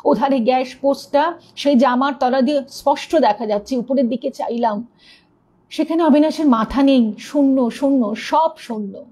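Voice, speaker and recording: A woman with a fairly high voice narrates a ghost story as a storyteller. Her reading is dramatic and expressive, keeping up the suspense as she goes. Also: moderate pace.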